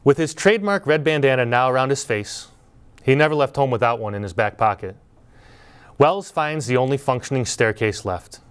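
A middle-aged man delivers a prepared commencement speech in a measured, earnest tone. His voice is smooth and resonant, at an ordinary pitch for a man. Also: lively intonation. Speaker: school speaker at graduation. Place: indoor studio before green backdrop.

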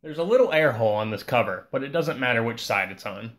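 A man giving a calm, scripted voice-over that walks through a repair step by step. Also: medium pitch, fast. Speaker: repair channel host.

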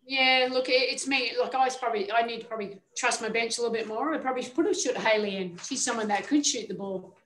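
A woman's voice: on the high side, quick pace, hardly any pauses, a bit bright. A middle-aged woman head coach answering questions at a postgame press conference, speaking candidly with plenty of fillers and restarts. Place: indoor media room before a team backdrop. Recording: echoey room sound.

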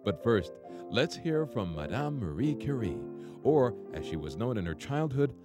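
A man giving scripted documentary narration in a smooth, measured delivery. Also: medium pitch, big pitch swings. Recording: voice-over with clear music bed.

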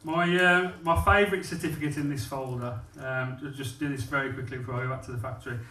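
A man's voice: medium pitch, fairly even intonation, quick pace, pushed and bright, far from the mic. A man, telling a blunt, self-mocking stand-up story on a comedy club stage into a handheld mic. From England. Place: comedy club stage, small venue with live audience. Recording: echoey room, mic off-axis, audience laughter.

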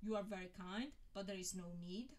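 A woman's voice: medium pitch, normal speed, lightly acted. A woman voicing a reserved, polite young wizard man who mutters his words, in an audiobook reading. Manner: muttered, reticent.

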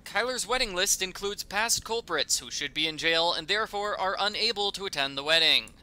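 High voiced guy, super bright and sharp, sarcastic scripted nitpicks of a game. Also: lively intonation, fairly quick, clear, resonant tone. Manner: mock-indignant, sarcastic. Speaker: video critic.

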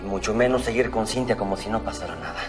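A man's voice: fairly low pitch, fast talker, music in the background, natural voice. A man playing an ardent, insistent telenovela lover. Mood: sincere. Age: 30s. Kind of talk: telenovela acting.

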